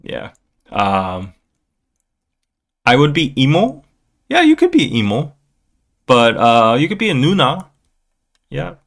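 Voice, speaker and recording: A man hosting a casual livestream, talking in a playful, rambling way with halting restarts and replies to viewers. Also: mid-range voice, huge pitch swings, creaky, fairly bright tone. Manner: playful, jokey, often chuckling. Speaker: podcast livestream host. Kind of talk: casual livestream chat, reading viewer comments.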